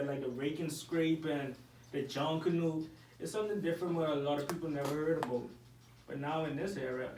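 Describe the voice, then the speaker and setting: A man's voice: a little high, darkish tone, slight background noise. A man with a Bahamian accent, a music artist speaking as a radio guest in a laid-back, plain-spoken way. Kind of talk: casual radio interview. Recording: roomy studio sound, distant from the mic.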